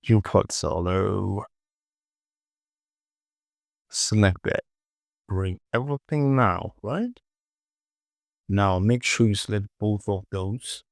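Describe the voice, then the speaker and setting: A man giving an informal software tutorial, muttering short clipped instructions to himself and often repeating words. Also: on the low side, slow pace, creaky, pauses often, dry recording, clean studio sound. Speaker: 3D modeling tutorial presenter.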